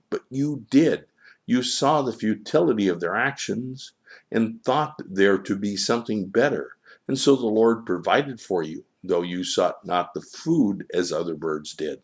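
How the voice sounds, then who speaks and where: Storybook divine child sage, played by a man: solemn, measured preaching, low and creaky. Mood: solemn teaching. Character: wise divine child, solemn spiritual teacher. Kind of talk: storybook character reading.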